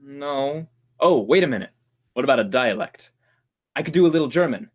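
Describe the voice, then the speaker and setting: An actor playing an anxious radio performer at rehearsal in an old-time radio drama, unsure and seeking approval. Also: male voice, medium pitch, lively intonation, moderate pace, natural voice. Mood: uncertain.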